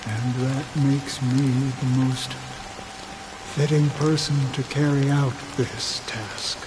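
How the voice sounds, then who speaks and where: An actor playing a solemn, weary war veteran who guides lost souls, speaking gravely and reflectively in a video game cutscene. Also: male voice, medium pitch, slow pace, natural voice. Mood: grave, mournful. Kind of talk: dramatic game cutscene acting. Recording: steady rain noise behind the voice.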